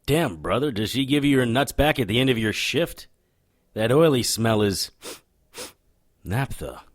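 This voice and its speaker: Guy acting sardonic occult detective, noir radio drama, cocky and showy, animated voice, dry sound. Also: mid-range voice, measured pace, dry recording, close to an everyday voice. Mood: sardonic, probing.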